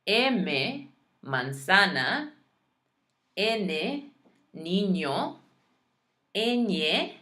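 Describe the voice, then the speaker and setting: A woman's voice: on the low side, a bit bright. A young adult woman, a Spanish heritage speaker, teaching vocabulary in a recorded lesson with careful pronunciation and playful asides.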